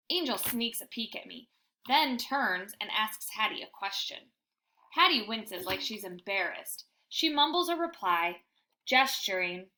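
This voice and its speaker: A woman reading a children's book aloud in an animated, emphatic storytelling style. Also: medium pitch, rough recording. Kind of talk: expressive children's book narration.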